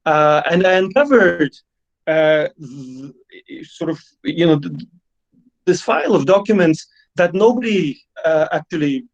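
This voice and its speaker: A man's voice: a little high, expressive, measured pace, dull audio. A man who grew up speaking Russian gives an online academic lecture, hesitant, with many fillers and repeated words. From Belarus. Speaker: guest lecturer.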